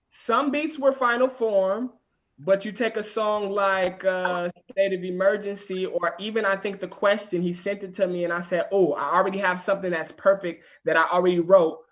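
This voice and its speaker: High-pitched man, twenties, rapper guest, animated storyteller, casual podcast talk. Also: normal speed, slight background noise. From US West Coast. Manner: animated storytelling with many asides.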